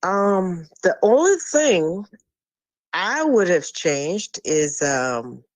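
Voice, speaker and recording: A woman's voice: fairly low pitch, sing-song, drawn-out words, dry recording. Woman, caller, insistent, repeats herself, dry close sound. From Liberia. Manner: insistent, repetitive, talks over the host. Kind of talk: call-in radio debate.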